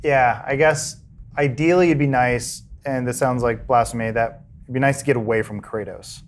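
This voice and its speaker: A man's voice: mid-range voice, fairly even intonation, normal speed. A young man hosting a podcast, chatting casually and jokily about a video game, often trailing off and restarting his sentences. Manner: rambling, self-interrupting, jokey. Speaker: podcast host. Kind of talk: casual chat.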